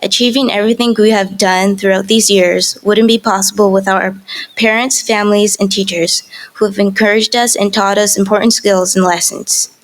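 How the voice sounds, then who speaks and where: A woman's voice: mid-range voice, fairly even intonation, normal speed. Young woman valedictorian, formal and composed, reading her speech. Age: young adult. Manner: formal, composed, read from a script. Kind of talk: graduation speech.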